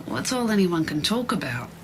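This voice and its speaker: An actress playing a sympathetic woman restaurant worker, hesitant and gently reproving, in a naturalistic audio drama. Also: lowish voice, sing-song, moderate pace, a little hiss or hum.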